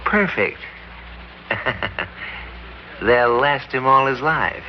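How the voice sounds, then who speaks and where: An actor playing a scheming con-man ex-husband, smooth, cool and businesslike, in an old radio drama. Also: mid-range voice, expressive, drawn-out words. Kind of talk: 1940s-50s radio drama acting. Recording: muffled vintage radio recording with hiss.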